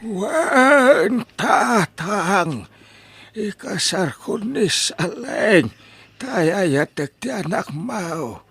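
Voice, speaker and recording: A man with a Filipino accent playing a polite, earnest 75-year-old suitor in a comedy radio drama, with a husky, quavering voice. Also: high-pitched, big pitch swings, extremely slow, fairly bright tone, heavily acted. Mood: tearful pleading.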